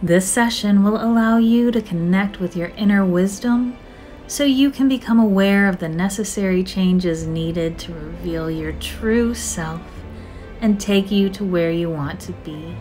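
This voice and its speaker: A woman narrating a scripted guided meditation in a soothing, measured, gentle manner with drawn-out phrases. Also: mid-range voice. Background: soft music.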